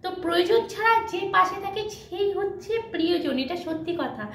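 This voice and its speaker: A woman's voice: extremely high pitch, animated, a little fast. A woman chatting motivationally to her followers, emphatic, repeating her points and mixing in English words. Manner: mixes English words into speech. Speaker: video blogger. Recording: echoey room with faint background noise.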